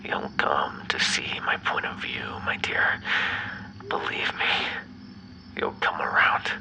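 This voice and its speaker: A man playing an obsessive, bitter, menacing ex-lover in an ASMR roleplay, speaking in a breathy, brooding half-whisper. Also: natural voice, low-quality recording. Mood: coldly insistent. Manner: slow, brooding, theatrically menacing. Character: obsessive, bitter yandere male vampire ex-lover, menacing captor.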